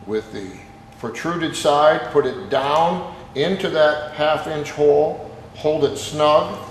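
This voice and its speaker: A man with a mid-pitched voice walks through a hands-on technical demonstration. His instructions are plain-spoken and go step by step. The sound is echoey with long reverb. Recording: very echoey, reverberant room with background noise.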